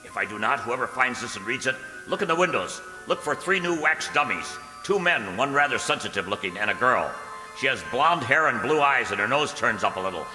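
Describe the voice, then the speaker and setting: A man's voice: mid-range voice, expressive, a little fast. An actor playing a desperate man dramatically declaiming his last warning note in an amateur radio horror drama. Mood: grave, desperate. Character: desperate male writer of a final warning note. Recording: echoey hall with loud music.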